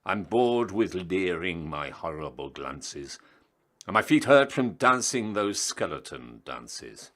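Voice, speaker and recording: A man's voice: low-pitched, sing-song, slow pace, fairly bright tone, exaggerated voice. A man reading a tired, bored, scheming skeleton from a storybook, declaiming grandly in rhyme. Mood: weary, fed up. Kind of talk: storybook verse character reading.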